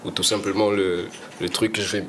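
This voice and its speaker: A man's voice: sits low, flat delivery, fairly quick, a little hiss or hum. A young man, a rapper guest, chatting casually in slangy talk, often trailing off and restarting his sentences. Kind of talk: casual chat.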